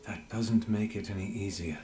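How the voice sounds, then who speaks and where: An actor playing an earnest, loyal and idealistic leader in a fantasy video game, speaking solemnly. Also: male voice, fairly low pitch, steady tone, normal speed, dark tone, natural voice. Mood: troubled, grieving. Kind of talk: dramatic fantasy game voice acting.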